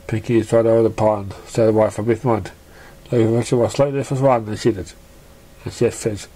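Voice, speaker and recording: A storyteller narrating fan fiction expressively, with a somewhat halting, stumbling delivery. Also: medium pitch, fairly even intonation, dark tone.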